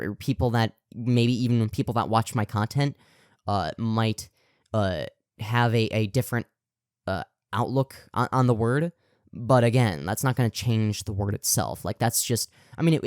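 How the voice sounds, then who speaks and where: Smooth-voiced young man, rambling contrarian podcast chat. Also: fairly low pitch, a little mellow, no echo, clean studio sound. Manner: rambling and contrarian, swears freely, many fillers and restarts. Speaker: podcast co-host.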